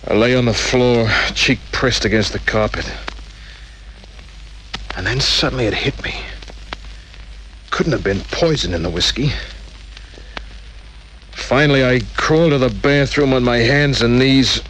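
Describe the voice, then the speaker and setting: A man's voice: medium pitch, measured pace, long pauses, a bit bright, a little hiss or hum, natural voice, low-quality recording. An actor playing a tough, wry male private detective in an old-time radio crime drama, narrating and talking in terse hard-boiled phrases. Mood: weary, dogged. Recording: old radio recording, faint music behind.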